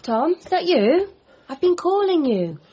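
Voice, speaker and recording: Level-headed partner in radio comedy: high, animated voice, concerned, slightly muffled. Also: female voice, a little slow, a little hiss or hum, full character voice. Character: sensible, concerned girlfriend of the hero. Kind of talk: radio comedy drama acting.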